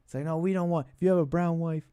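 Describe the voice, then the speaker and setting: A man's voice: on the high side, steady tone, dark tone, clear, resonant tone, no echo. Rambling man, dry close sound. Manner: halting, repetitive, meandering. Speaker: podcast and call-in show host. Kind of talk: rambling casual political commentary.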